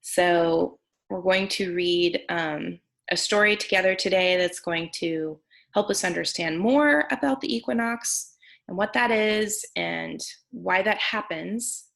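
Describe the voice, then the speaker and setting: Woman teacher reading aloud, bright creaky voice. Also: fairly low pitch. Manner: explanatory, breaking down words simply. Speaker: teacher reading a picture book aloud to children. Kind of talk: read-aloud lesson with simple explanations. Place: online video meeting with screen sharing.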